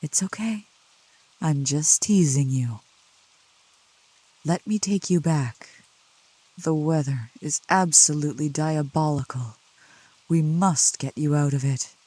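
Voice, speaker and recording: A woman voicing a gentle, teasing, possessive female demon of the woods in a soft, low ASMR roleplay, with tender, formal wording. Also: slow, pauses often, breathy edge, no room sound, heavily acted, low-quality recording. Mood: soothing, possessive. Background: rain.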